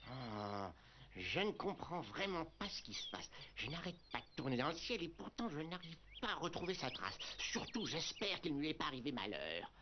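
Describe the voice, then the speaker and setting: Anxious, caring jungle bird, fretful animated delivery, dull noisy cartoon soundtrack. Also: male voice, fairly high pitch, normal speed. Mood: anxious, searching. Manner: fretful, talking to itself. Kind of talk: French-dubbed animated cartoon acting. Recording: muffled soundtrack, clear noise behind.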